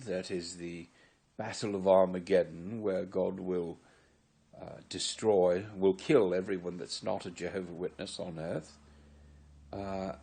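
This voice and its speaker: A man's voice: low-pitched, mellow, husky. A man in his sixties from Virginia, speaking in a put-on English accent, gives a rambling, earnest and wry solo testimony. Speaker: former member giving testimony. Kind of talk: solo confessional monologue to camera.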